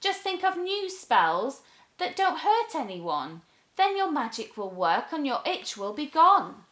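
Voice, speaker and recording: A woman's voice: high voice, big pitch swings, a little slow, a bit bright, roomy sound, voice pushed up, heavily acted. A woman voicing a kind, earnest girl in a children's storybook, reassuring and pleading. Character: kind, gentle, earnest girl advising a witch.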